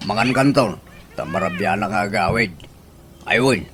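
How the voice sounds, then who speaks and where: A man's voice: lowish voice, moderate pace, background noise, natural voice. A male actor playing a stubborn, lovesick older man in a radio comedy drama. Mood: chatty.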